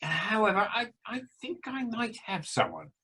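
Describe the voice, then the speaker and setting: Casting: actor as self-satisfied flirtatious male drama director, bright forceful delivery, very lively pitch, radio comedy theatrics. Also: normal speed, breathy edge, exaggerated voice. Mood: boastful. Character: boastful, flirtatious male amateur director and estate agent. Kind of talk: amateur radio play acting.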